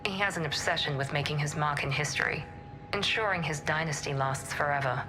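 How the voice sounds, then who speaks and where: A woman's voice: sits low, brisk, over music, lightly acted, low-quality recording. A woman playing a guarded, determined operative on the run in a video game, controlled and intense. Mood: tense, urgent.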